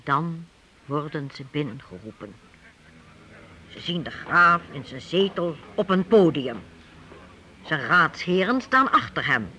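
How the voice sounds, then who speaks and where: A male narrator tells a radio drama in a high, very animated voice. The tone is bright and storylike, on a faintly noisy old recording. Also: unhurried, pauses often.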